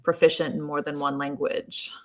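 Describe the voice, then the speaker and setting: A woman with a slightly low voice hosts a live online question-and-answer session. She talks in a friendly, conversational way as she reads viewer questions and hands them to her guests. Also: level tone, normal speed. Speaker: show host.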